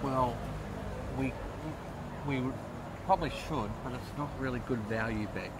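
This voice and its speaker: A man talks his way through a walking tour, stumbling and restarting his words in easy, joking chat. His voice sits in the middle range. Loud street traffic rumbles behind him outdoors. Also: unhurried. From Australia. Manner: repeats and restarts words, teasing talk about good value. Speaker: travel vlogger. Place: outdoors on a busy city street.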